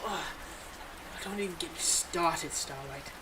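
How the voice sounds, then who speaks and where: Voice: mid-range voice, unhurried, background noise, lightly acted. A performer voicing a smug, playfully exasperated supervillain in a scripted ASMR audio roleplay, mocking and dramatic. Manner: mocking, dramatic, addresses an unheard hero listener.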